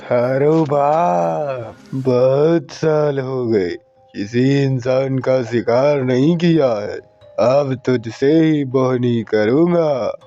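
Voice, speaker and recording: A man voicing a crazed flesh-eating male ghost in a horror cartoon, talking in a slow, gravelly, menacing drawl. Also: medium pitch, dark tone, faint music behind. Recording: muffled lo-fi recording.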